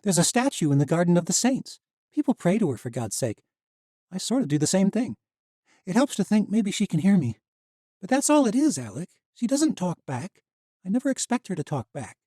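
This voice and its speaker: A man voicing a fierce young woman in grief, sharp and quick to anger, in an audiobook. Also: sits high, very animated, dry recording, clean studio sound, voice pushed up. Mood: grieving. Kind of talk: audiobook character voice.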